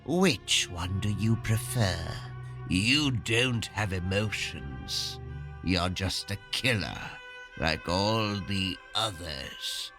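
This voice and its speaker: A man's voice: sits low, expressive, music in the background, exaggerated voice. A man playing a sadistic, taunting serial killer who collects victims as art, in a horror audio drama. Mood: mocking. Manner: taunting, menacing, unhurried.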